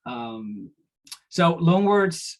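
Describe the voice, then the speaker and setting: A man's voice: on the high side, big pitch swings, extremely slow. A man whose first language is Danish, a doctoral researcher giving an academic lecture over slides, often hesitating with fillers and restarts.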